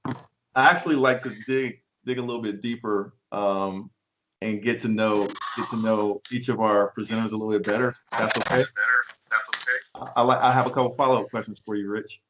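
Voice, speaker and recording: A man moderating a virtual recruiting panel, asking follow-up questions in a friendly, halting way. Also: lowish voice, fairly even intonation, noisy background.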